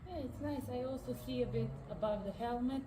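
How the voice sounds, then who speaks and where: A woman's voice: a little high, a little fast, recorded from a distance. A woman from northern Serbia with a Serbian accent, chatting casually as a passenger co-presenter. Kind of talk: casual chat. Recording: roomy echo, clearly noisy background.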